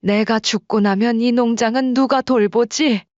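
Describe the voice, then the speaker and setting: A woman's voice: fairly high pitch, steady tone, unhurried, a little mellow, dry recording, muffled recording, pitched above the performer's own voice, natural voice. A woman voicing a proud, blunt elderly woman who farms ginseng, speaking firmly and plainly. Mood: wistful. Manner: firm, plain-spoken.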